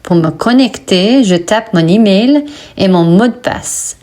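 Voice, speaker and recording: A woman who teaches French and wrote a textbook, reading vocabulary and example sentences slowly for a pronunciation lesson. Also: mid-range voice, expressive, dark tone. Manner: slow, deliberate word-by-word reading.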